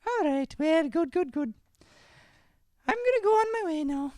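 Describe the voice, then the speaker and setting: Polite, flustered Irish lass, performed by a man, high-pitched, dry close radio-play sound. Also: lively intonation, normal speed, a little mellow, no echo. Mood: flustered, pleased. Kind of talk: improvised old-time radio play acting.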